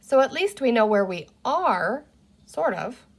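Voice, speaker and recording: A woman's voice: fairly high pitch, very animated, a little slow, slightly rough, exaggerated voice. Woman reading as a bossy, sensible young girl. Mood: urgent, decisive. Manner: firm, takes charge. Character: bossy, sensible eldest girl leading children.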